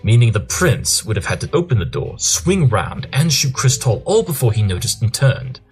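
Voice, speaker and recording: A man's voice: mid-range voice, sing-song, moderate pace, faint music behind, close to an everyday voice. A man voicing a brilliant, smug pony detective in an audio drama, pompous and self-assured as he explains. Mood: smugly confident.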